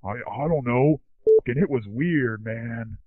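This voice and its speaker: A man playing a dim, excitable young slacker who rambles through slangy stories in a comic monologue. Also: medium pitch, measured pace, no echo, close to an everyday voice. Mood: baffled, excited. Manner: slangy, rambling surfer-dude talk. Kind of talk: comic monologue, casual storytelling. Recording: thin phone-like lo-fi sound.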